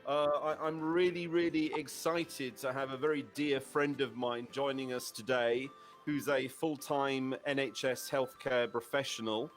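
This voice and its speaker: A man's voice: mid-range voice, steady tone, a little slow, faint music behind. A man hosting a casual online chat show, chatty and full of restarts. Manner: chatty, with many restarts and fillers. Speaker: host.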